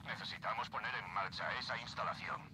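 A man's voice: lowish voice, quick pace, noisy background, natural voice. An actor playing a businesslike man giving instructions in a science-fiction game.

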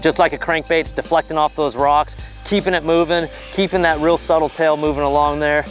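A man's voice: on the high side, steady tone, brisk, soft background music, poor audio. A man in his thirties giving a casual fishing tutorial on a boat outdoors, talking with easy enthusiasm and plenty of "you know" fillers. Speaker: pro bass angler.

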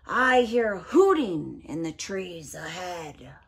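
A woman's voice: fairly low pitch, big pitch swings, slow pace, vocal fry, slight background noise, voice pushed down, heavily acted. A woman voicing a big, scary but gullible male monster from a children's storybook, by turns blustering and doubtful. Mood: wary, doubtful.

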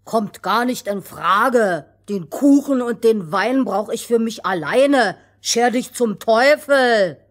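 Woman as a loud, rude young lad. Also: a little high, lively intonation, takes it slowly, few pauses, voice pushed up. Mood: scornful. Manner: loud, brusque, scornful. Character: rude, selfish young woodcutter son.

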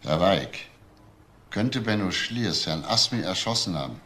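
An actor playing a methodical police detective, asking persistent, measured questions in a crime radio play. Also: male voice, low-pitched, lively intonation, fairly bright tone. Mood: measured, probing. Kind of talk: German crime radio drama acting. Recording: faint background noise.

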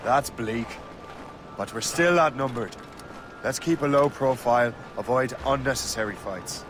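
A man's voice: mid-range voice, a little slow, lightly acted. A man voicing a blunt traitor captain over music. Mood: wary. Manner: blunt, terse. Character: hardened male ship captain turned traitor, blunt. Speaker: game character. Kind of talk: video game cutscene acting. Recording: game audio with music score.

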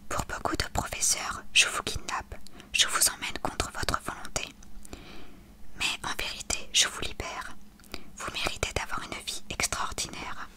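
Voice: measured pace, lightly acted. A storyteller whispering the lines of a weary, cryptic old headmaster in a storybook reading.

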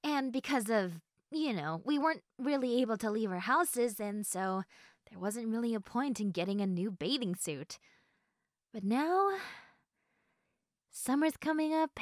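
Playful high-voiced young woman acted by a woman. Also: no room sound, studio quality, lightly acted. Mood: cheerful musing.

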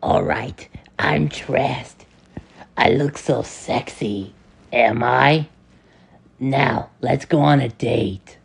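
A man voicing a hot-tempered, clingy young male frog in a homemade skit, whiny and quick to threaten. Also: medium pitch, vocal fry, breathy edge, full character voice. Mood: lovestruck.

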